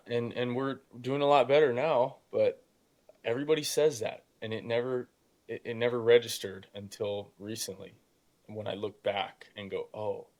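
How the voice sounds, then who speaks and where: A man's voice: medium pitch, darkish tone. An eighteen-year-old man tells stories in a casual, motivational podcast style. His youthful voice is prone to cracking, and he speaks emphatically and informally, often slipping into imagined dialogue to make a point. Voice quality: youthful voice that still cracks at times. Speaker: podcast guest, young real estate investor. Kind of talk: casual conversational motivational storytelling.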